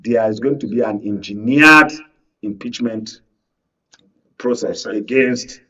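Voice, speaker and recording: A man's voice: mid-range voice, slow pace, sharp tone. A Nigerian man speaking as an analyst in a political panel discussion, emphatic and punctuating his points with rhetorical questions. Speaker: panel guest, media analyst. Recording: distant mic, dull lo-fi sound.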